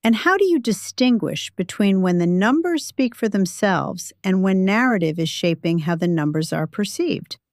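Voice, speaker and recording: A woman narrator voicing a shrewd older woman who asks measured, formal, probing questions in a story. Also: mid-range voice, animated, dry recording, clean studio sound, lightly acted. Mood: coolly probing.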